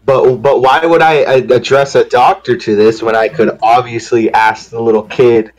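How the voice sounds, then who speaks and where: A man's voice: medium pitch, fairly even intonation, moderate pace, poor audio. Casual male group-read participant, mid register, joking conversational delivery.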